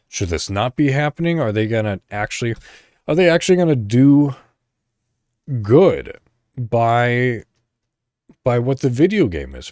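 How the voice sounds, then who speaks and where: A man's voice: medium pitch, a little mellow, dry recording, clean studio sound. A man hosting a casual solo podcast, rambling as he thinks aloud and swearing offhandedly. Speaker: podcast host.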